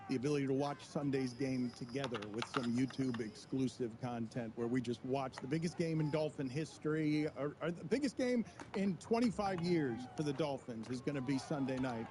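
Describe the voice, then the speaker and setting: Theatrical sports talk host. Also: male voice, mid-range voice, a little fast, background noise. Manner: theatrical, building up hype. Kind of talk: studio talk show chat. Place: studio.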